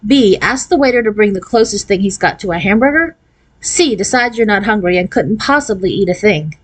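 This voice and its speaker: A woman's voice: mid-range voice, lively intonation, a little fast, natural voice. A woman voicing a blunt, bossy fifth-grade girl with a dry wit in a children's storybook. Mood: brisk quizmaster. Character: Kate, brisk, sardonic fifth-grade girl, movie buff.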